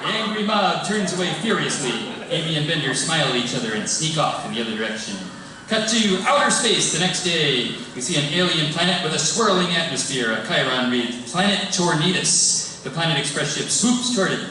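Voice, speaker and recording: Male narrator for a live script read, slightly high, heard at a distance in a noisy, echoing hall. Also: expressive, fairly quick. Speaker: stage-direction narrator. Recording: noisy echoey hall from far off.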